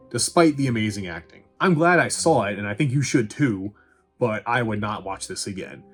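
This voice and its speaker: A man giving a casual, opinionated movie review in a wry, sarcastic, conversational way. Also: on the low side. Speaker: film reviewer and host.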